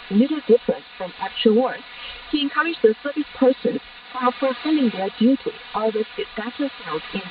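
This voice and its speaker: A woman reads a scripted news bulletin in a formal, solemn manner over shortwave radio. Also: on the high side. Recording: muffled shortwave radio reception, distant, heavy static hiss. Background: shortwave static and hiss.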